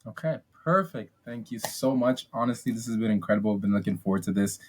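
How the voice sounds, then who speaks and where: Low-voiced young man from Ghana. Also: fast talker. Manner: chatty, many ums and restarts, upbeat. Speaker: podcast guest. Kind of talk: casual interview storytelling.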